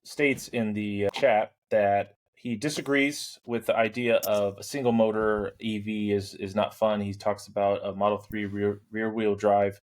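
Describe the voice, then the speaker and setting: Casual man with a lowish voice. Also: little pitch movement, a little slow, few pauses. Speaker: podcast co-host. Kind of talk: casual panel chat.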